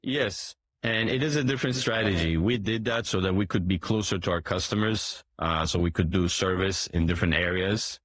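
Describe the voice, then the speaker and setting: A man in his forties who grew up in Turkey, a boat dealership owner answering interview questions in a hesitant way, with many fillers and restarts and frequent throat clearing. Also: low voice, moderate pace, few pauses, fairly bright tone, dry recording.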